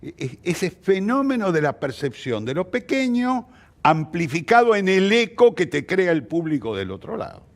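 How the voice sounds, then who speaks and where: Animated Argentine man, higher-pitched voice. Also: moderate pace. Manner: expressive, illustrates with anecdotes and acted quotes. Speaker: theatre practitioner interviewed as guest. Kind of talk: conversational interview answer.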